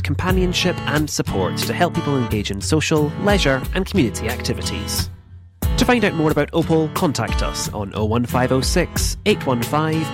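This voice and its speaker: A man reading a scripted charity announcement in a warm, lilting way. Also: fairly high pitch. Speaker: ad voice-over. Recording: voice-over with guitar music bed.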